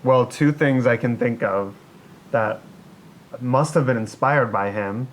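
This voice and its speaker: A woman's voice: rumbling low, slow pace, slight background noise, rough recording. A woman co-hosting a podcast in casual chat, chiming in briefly and agreeably. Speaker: podcast co-host.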